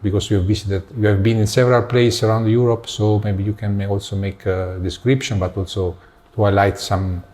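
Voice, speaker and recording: Low, mellow Italian-accented interviewer. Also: male voice, level tone. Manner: halting, searching for words in English. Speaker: interviewer, researcher. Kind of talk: academic interview conversation.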